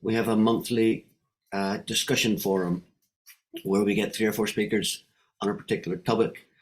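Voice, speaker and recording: A man's voice: on the low side, fairly even intonation, normal speed, poor audio. Northern Irish man on a video call. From Northern Ireland. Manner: friendly, hesitant with fillers. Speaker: guest speaker from a Welsh wellbeing group. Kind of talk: online meeting contribution.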